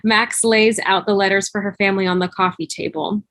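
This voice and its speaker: A woman's voice: mid-range voice, fairly even intonation, a little fast, a bit bright, no room sound. Woman narrating screenplay directions, dry sound.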